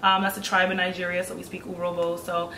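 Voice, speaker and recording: A young woman from Brooklyn, a guest chatting in an animated, joking way. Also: fairly low pitch, fast, slight background noise. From Brooklyn, New York. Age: young adult. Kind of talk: casual chat. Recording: echoey room, distant mic.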